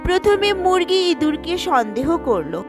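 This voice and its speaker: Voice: high-pitched, sing-song, moderate pace, soft background music. A narrator reading a scripted children's cartoon tale in storybook style.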